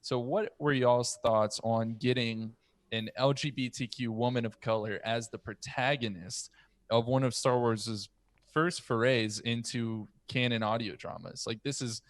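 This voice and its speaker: A man in his thirties with an American accent and a medium-pitched voice. He moderates a casual discussion as host, recorded dry and close to the mic. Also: steady tone, unhurried, no room sound. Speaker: podcast host. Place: home room. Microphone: close boom-arm podcast mic.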